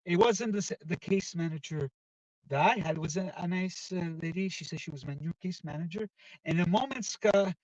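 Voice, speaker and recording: A man hosting a casual live football chat from inside a car, talking loudly and excitedly and dropping Italian football phrases into his talk. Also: high voice, fairly quick, dry recording. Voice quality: loud voice. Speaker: live-stream football show host. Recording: dull, distant, dry car recording.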